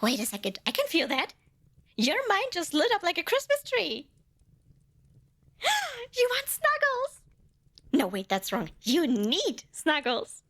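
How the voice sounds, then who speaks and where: Female performer for tiny eager demoness, high and very animated, a cuddle-hungry saleswoman for an ASMR roleplay. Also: measured pace, long pauses, exaggerated voice. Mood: excited. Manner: playful wheedling salesmanship with flustered self-corrections. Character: cute little female demon, centuries old, eager, cuddle-craving. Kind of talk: scripted ASMR fantasy roleplay addressed to the listener.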